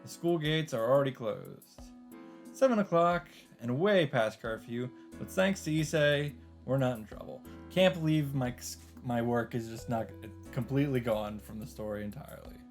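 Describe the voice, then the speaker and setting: A man's voice: medium pitch, animated, normal speed. A man narrating a visual novel aloud and adding casual commentary as a let's-play host, with game music behind him. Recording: background music.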